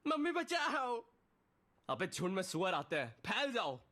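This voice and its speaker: A man's voice: high voice, wildly swooping pitch, unhurried, full character voice. A man playing a brash, comic battle-game character, delivering cheeky, theatrical one-liners for a voice pack. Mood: bossy bravado. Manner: theatrical, cheeky shouting of short commands.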